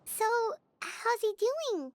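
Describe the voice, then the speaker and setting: An actress voicing a tiny, curious, childlike companion girl with a squeaky voice in a video game. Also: sing-song, takes it slowly, exaggerated voice. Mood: curious, puzzled.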